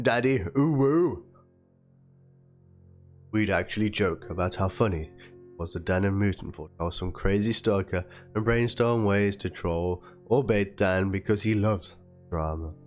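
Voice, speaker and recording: Male reader as aggrieved young woman in a dramatic document read. Low pitch, plaintive, theatrical delivery, guitar music underneath. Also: natural voice. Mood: indignant, mocking. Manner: theatrical, plaintive, spells out chat slang like "lol". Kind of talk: dramatic reading of a written document and chat logs.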